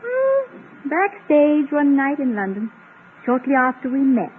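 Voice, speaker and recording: An actress playing a witty, affectionate wife and former stage performer in an old radio comedy-drama, polite and gently teasing. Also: female voice, sits high, big pitch swings, slow, heavily acted. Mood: fond, playful. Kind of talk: 1940s-50s radio comedy-drama acting. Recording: noisy, narrow-band old radio recording.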